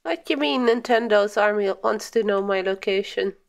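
A woman's voice: medium pitch, moderate pace, gravelly. A young adult woman livestreaming a game, chatting casually and playfully with viewers and exclaiming at the screen. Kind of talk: casual gaming stream chat.

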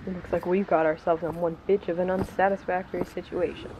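A woman's voice: fairly low pitch, flat delivery, fast, natural voice. A woman playing an obedient, laconic outlaw henchman in a western audio drama. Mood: dutiful. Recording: dull, slightly noisy recording.

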